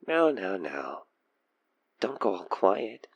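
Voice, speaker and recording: A man acting an obsessed, possessive stalker killer in an audio roleplay, teasing, coaxing and menacing, with breathy, intimate delivery. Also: deep voice, big pitch swings, slow pace, dry recording, lo-fi sound, heavily acted. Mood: taunting, playful menace. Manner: theatrical, teasing, intimate, dropping into breathy near-whispers. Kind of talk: audio roleplay monologue spoken to the listener over a phone call.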